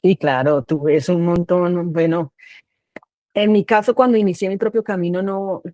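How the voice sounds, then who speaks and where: A woman's voice: lowish voice, steady tone, normal speed, dark tone, dry recording. A Colombian woman with a Colombian accent, speaking hesitantly and searching for words in a conversation.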